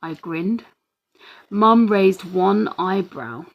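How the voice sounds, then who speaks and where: Unhurried, creaky-voiced woman reading a story. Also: medium pitch, expressive, highs cut off. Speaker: audiobook reader. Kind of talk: scripted children's story narration.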